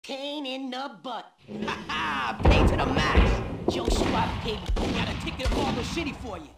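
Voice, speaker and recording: Male game villain: cocky taunting goon, gravelly high voice, loud music behind. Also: big pitch swings, normal speed, full character voice. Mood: gloating. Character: gloating, cocky thug taunting in a crime game.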